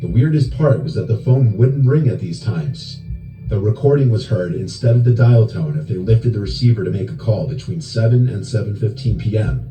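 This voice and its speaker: A man's voice: fairly low pitch, animated, normal speed. A man reading scripted narration of creepy true stories. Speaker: horror story narrator. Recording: roomy echo with music behind. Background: background music.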